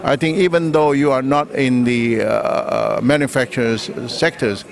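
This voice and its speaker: Halting older Taiwanese-accented man in his sixties. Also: mid-range voice, gravelly. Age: 60s. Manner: halting, measured phrasing with pauses mid-sentence. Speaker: trade official being interviewed. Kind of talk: press interview answers. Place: indoor press conference room. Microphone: handheld reporter microphone.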